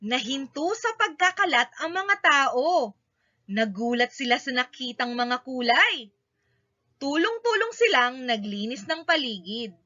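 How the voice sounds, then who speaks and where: A woman's voice: high voice, expressive, a little slow, pushed and bright. A woman with a Filipino accent reading a children's story aloud expressively, in short, emphatic sentences. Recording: dull, lo-fi recording.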